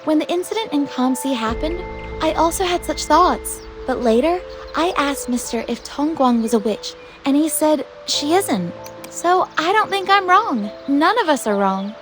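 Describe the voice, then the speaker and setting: A woman's voice: high-pitched, lively intonation, normal speed, over music. A woman playing an earnest, trusting young female disciple in a fantasy game, speaking frankly and conversationally. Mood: calm, resolute. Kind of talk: dubbed fantasy game cutscene acting.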